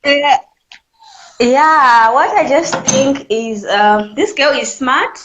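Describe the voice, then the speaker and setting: A woman's voice: high-pitched, slow pace, fairly bright tone, roomy sound, slight background noise, poor audio. A woman in her twenties from Zimbabwe with a Zimbabwean accent, calling in to share her opinion in an earnest way that keeps returning to her points. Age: 20s. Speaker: caller. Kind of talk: call-in opinion chat.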